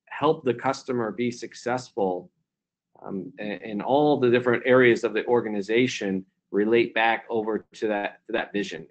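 A man of ordinary pitch talks through his answers on a conference call. He is reflective and unhurried, and he repeats his points with plenty of ums and false starts. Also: highs cut off. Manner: reflective, repeats himself with fillers and restarts. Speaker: company CEO, keynote guest.

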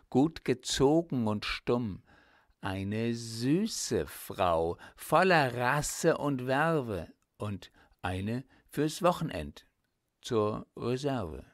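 A man reciting poetry expressively, in a deliberate, theatrical manner with dramatic pauses and shifting emphasis. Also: medium pitch, no echo, clean studio sound. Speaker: audiobook reader of poetry.

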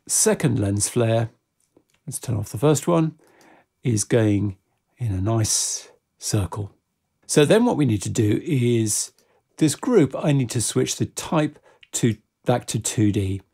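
A man giving an unscripted software tutorial, methodically explaining each step as he works, in a gravelly, husky voice. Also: medium pitch.

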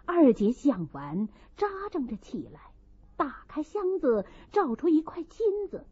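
A woman's voice: high voice, huge pitch swings, measured pace, dark tone, lo-fi sound. A woman narrating a classic novel as a dramatic audiobook.

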